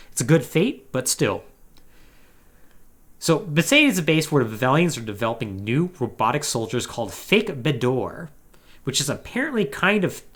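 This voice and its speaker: A man's voice: medium pitch, fairly bright tone. A man hosting a podcast, recapping a video game storyline in a casual, conversational way with wry asides. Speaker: podcast host.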